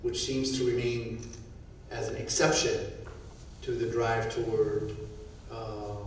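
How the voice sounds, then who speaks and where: A man's voice: medium pitch, level tone, takes it slowly, a little hiss or hum. A middle-aged man from Syria speaking without notes on a conference panel, thoughtful and given to restarting his sentences. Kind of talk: unscripted panel talk. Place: conference hall. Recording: echoey hall, from far off.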